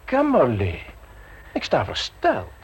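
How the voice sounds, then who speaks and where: An actor playing a cheeky, domineering private secretary in a radio play, teasing and coolly insistent. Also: male voice, a little high, huge pitch swings, takes it slowly, husky, exaggerated voice. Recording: noisy old radio play recording.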